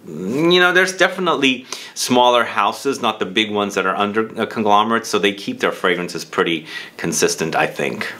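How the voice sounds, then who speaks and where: Male video host, lower-pitched voice, chatty and enthusiastic product reviewer speaking informally to viewers. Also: pushed and bright. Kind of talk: casual review talk to camera.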